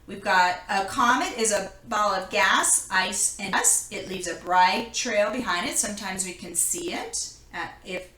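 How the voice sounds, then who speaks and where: A woman reading a children's science picture book aloud in an explanatory way, pausing to point things out. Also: medium pitch, bright, forceful tone. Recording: echoey room.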